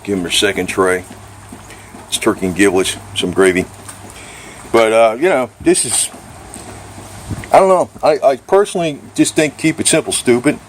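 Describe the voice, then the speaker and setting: A man's voice: medium pitch, moderate pace, long pauses, a little hiss or hum, rough recording. A man talking in an informal, rambling, mildly profane way, like a casual show-and-tell vlog about gear. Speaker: vlogger reviewing radio gear.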